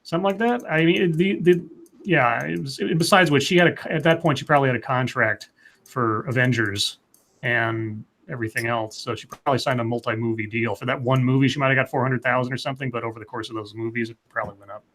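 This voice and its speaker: A man's voice: mid-range voice, fast talker, a bit bright. A man as a guest on a casual live panel chat, reasoning aloud with hesitant restarts. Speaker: podcast guest.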